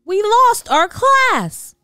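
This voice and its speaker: Woman voicing an excitable, high-pitched little animal schoolboy. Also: drawn-out words, no echo, clean studio sound, faint music behind, exaggerated voice. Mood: worried. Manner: exclaiming, urgent. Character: Gilbert, anxious young boy animal schoolkid, excitable.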